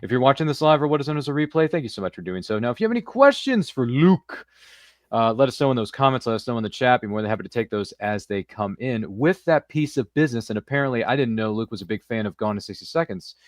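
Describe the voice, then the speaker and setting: Fast-talking man hosting a podcast. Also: medium pitch, expressive, dry recording, clean studio sound. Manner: chatty, informal, addresses listeners directly. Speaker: podcast host. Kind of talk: casual interview show hosting.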